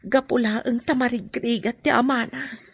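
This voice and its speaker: A gentle, burdened mother in a radio drama, played by a woman. Her voice is slightly high with a husky grain, recorded close and dry. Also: fairly even intonation, normal speed, dry recording. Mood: sorrowful. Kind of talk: Ilocano radio drama acting.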